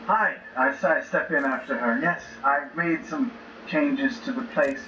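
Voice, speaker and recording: A man's voice: medium pitch, unhurried, pushed and bright, highs cut off. A man improvising in character as a player in a tabletop role-playing game. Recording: roomy distant mics with noisy background audio.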